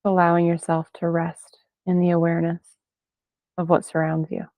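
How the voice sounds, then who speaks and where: A woman leading a guided meditation in gentle, unhurried phrases with long pauses between them. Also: on the low side, completely flat delivery, dry recording. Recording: band-limited, phone-like sound, dry.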